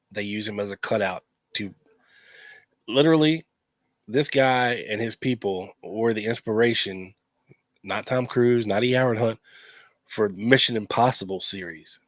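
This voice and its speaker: A man co-hosting a podcast in casual chat, stuffy from a coming cold, energetic and joking. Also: lowish voice, no echo. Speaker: podcast co-host.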